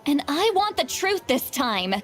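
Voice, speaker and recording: A woman playing a feisty, sharp-tongued but caring grandmother in a video game, with an exasperated, scolding manner. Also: sits high, very animated, unhurried, faint music behind. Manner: scolding, exasperated, dramatic sighs. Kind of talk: English game voice acting.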